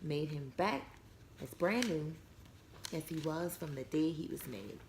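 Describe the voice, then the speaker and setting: A woman reading a storybook aloud to young children, expressively, pausing to retell and explain it in simple words. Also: sits low, expressive, gravelly, darkish tone. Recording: echoey room with some background noise.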